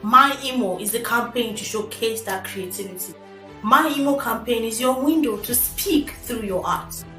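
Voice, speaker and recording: A woman's voice: fairly high pitch, moderate pace, bright, forceful tone, roomy sound, far from the mic, soft background music. A woman reading a scripted radio campaign promo as the announcer.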